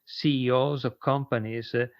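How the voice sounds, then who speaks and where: A man's voice: medium pitch, steady tone, moderate pace, dry recording. Italian-accented man, dry lo-fi sound. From Italy. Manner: hesitant, frequent uh fillers and repeats. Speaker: guest, sales consultant. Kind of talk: podcast interview.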